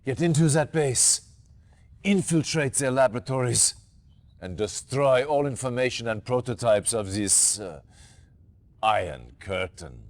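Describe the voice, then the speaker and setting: An actor playing a grave, authoritative military commander in a video game cutscene, speaking in a stern, formal, deliberate way. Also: male voice, medium pitch, animated. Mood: commanding.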